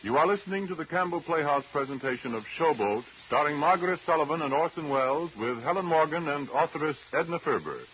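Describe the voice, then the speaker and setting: A man announcing in a formal, polished 1930s radio style, with measured scripted delivery. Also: fairly high pitch, lively intonation. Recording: dull, hissy old 1930s radio broadcast.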